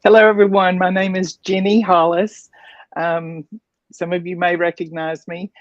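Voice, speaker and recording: A woman's voice: fairly low pitch, level tone, moderate pace, no echo. A woman from Alabama, a guest on a video call, introducing herself a little shyly. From central Alabama. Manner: shy, hesitant. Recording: muffled video call audio.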